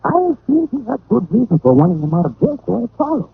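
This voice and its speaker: An actor playing a jovial, sly fat Cuban gunman in an old radio drama, with a gravelly voice, mock-polite broken English and a Cuban Spanish accent. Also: sits high, very animated, fast, dry recording, heavily acted. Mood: jovial, smug. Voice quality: husky, gravelly. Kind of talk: 1940s radio detective drama acting. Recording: narrow, tinny old radio recording.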